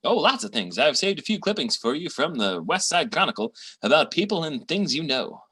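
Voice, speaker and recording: Someone doing a breezy, husky small-time schemer boyfriend. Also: medium pitch, big pitch swings, a little fast, fairly bright tone. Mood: breezy, wheedling. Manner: breezy, wheedling, street-smart. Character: lazy, fast-talking male boyfriend, shady schemer. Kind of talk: old-time radio comedy acting.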